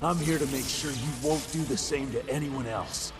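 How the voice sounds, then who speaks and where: An actor playing a determined monster hunter who speaks tersely and resolutely. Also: male voice, medium pitch, normal speed, over music, natural voice. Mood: bitter. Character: grim male monster hunter, determined son.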